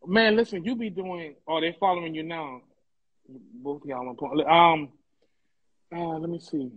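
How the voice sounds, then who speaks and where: High-pitched young American man, effusive, colloquial, repetitive, casual livestream chat, close phone mic. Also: a little slow, breathy edge, highs cut off. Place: indoor room, live video stream. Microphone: phone held close.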